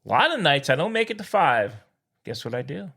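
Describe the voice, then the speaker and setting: A man's voice: a little high, sing-song, fairly quick, creaky, bright, forceful tone, breathy edge. A middle-aged man co-hosting a podcast in casual banter, folksy and slangy, playfully boastful and repeating himself for emphasis. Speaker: podcast co-host.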